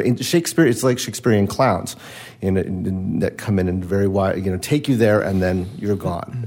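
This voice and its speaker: A man, a stage director, explaining his staging in a thoughtful, halting way. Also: on the low side, a little mellow. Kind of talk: panel discussion.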